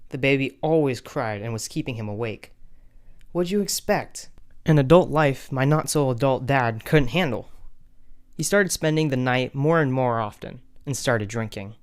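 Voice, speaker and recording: A man's voice: medium pitch, normal speed, smooth, natural voice. A man voicing a betrayed young man who tells his family drama wryly, in first-person cartoon story narration. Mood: resigned, scornful.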